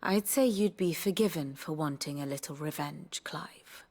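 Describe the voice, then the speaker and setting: A woman's voice: low voice, normal speed, darkish tone. An actress playing a composed, knowing female strategist, speaking soberly and pointedly in a dramatic video game. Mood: grave, knowing.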